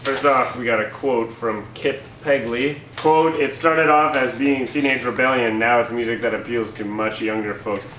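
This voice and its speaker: A young man co-hosting a casual satirical show, joking in a sarcastic, deadpan way. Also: mid-range voice, normal speed, few pauses. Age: young adult. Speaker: co-host of a campus humour paper's show. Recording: roomy, distant mic, faint noise.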